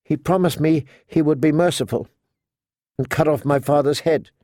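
A man's voice: a little high, dry recording, studio quality, close to an everyday voice. Man acting out a frightened, hesitant young noble girl. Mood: anguished. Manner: hesitant, halting, hushed.

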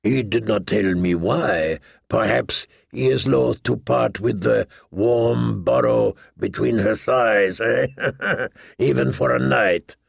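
Guy doing a boastful pirate captain for an audiobook, super gravelly, drawn out delivery, jovial and theatrical. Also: medium pitch, slow pace, no room sound, clean studio sound, full character voice. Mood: sly, joking. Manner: theatrical, jovial, with questioning tags. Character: boastful, lewd, wheedling pirate captain, a man. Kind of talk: audiobook character voice.

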